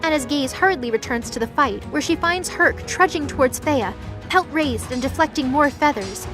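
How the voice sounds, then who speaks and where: Woman, audio drama narrator, scripted storytelling, fairly high pitch, music behind. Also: normal speed. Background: music under the voice.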